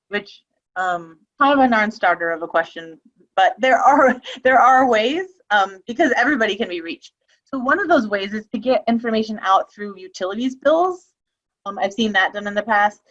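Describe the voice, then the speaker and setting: A woman's voice: on the high side, lively intonation, normal speed, fairly bright tone, highs cut off. A woman giving a webinar presentation on a video call, talking casually with frequent ums and restarts. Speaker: webinar presenter on elder abuse prevention.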